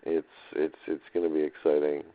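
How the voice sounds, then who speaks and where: A man's voice: rumbling low, monotone, brisk. A man speaking in a hesitant, repetitive, loosely drifting way with laid-back slang, recording an unscripted audio diary in dull lo-fi sound. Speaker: audio diarist.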